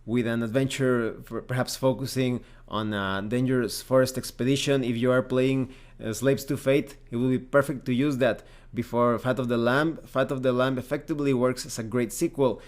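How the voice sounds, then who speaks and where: A man presenting a semi-scripted review of a tabletop game in a measured, explanatory and emphatic way. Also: medium pitch, fairly even intonation.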